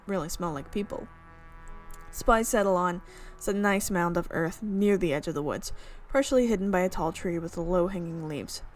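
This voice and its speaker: A young woman reading a visual novel aloud and tossing in casual, chatty comments and giggles. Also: fairly low pitch. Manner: casual, chatty asides and giggles between narration. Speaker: let's play streamer.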